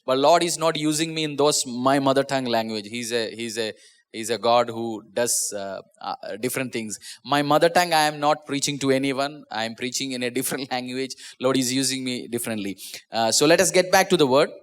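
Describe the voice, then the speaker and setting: A man's voice: brisk, smooth. A man who is a pastor, with an Indian English accent and Malayalam as his first language, preaching an online sermon in an earnest way, often repeating and restarting words. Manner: earnest, repeats and restarts words, drops pitch at phrase ends. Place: small church.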